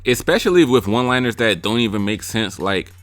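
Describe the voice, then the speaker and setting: Slangy young man with bright, dry voice. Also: mid-range voice, animated, a little fast, no room sound, faint music behind. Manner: blunt, slangy and joking; mimics rappers' lines. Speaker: YouTube music reviewer. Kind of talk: casual commentary ranking freestyles.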